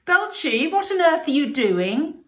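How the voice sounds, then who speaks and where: A woman's voice: high voice, very animated, unhurried, voice pushed up. A woman voicing a loving mother pig who scolds with pride, in a children's storybook. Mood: shocked. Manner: exclaims and gently scolds.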